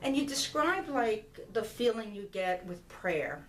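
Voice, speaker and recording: A woman's voice: medium pitch, very animated, unhurried, roomy sound, rough recording. A woman interviewing a student, asking probing questions and encouraging her with frequent murmured acknowledgments.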